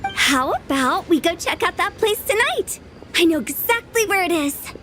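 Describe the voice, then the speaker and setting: A woman's voice: extremely high pitch, lively intonation, moderate pace, very noisy. A woman voicing a mischievous, teasing young woman in a video game cutscene. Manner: teasing, impish. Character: playful young woman funeral director, mischievous. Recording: game audio with music behind.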